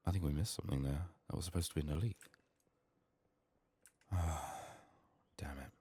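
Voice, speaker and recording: A man giving soft-spoken, partly whispered ASMR commentary on a game he is playing, murmuring short remarks to himself. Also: very deep voice, normal speed, breathy edge. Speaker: game streamer. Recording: keyboard and mouse clicking noise. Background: computer keyboard typing and clicks.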